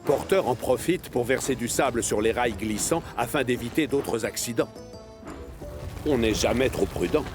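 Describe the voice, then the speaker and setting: A man narrating a scripted children's story as an expressive storyteller. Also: mid-range voice, moderate pace. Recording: music behind narration. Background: music.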